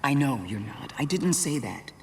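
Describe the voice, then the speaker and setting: A woman playing a grave, guilt-ridden middle-aged former Jedi mentor in a game cutscene, speaking in a measured, solemn, deliberate way. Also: very deep voice, animated, a little mellow, a little hiss or hum. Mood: concerned. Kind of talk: dramatic video game cutscene acting. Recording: music behind dialogue.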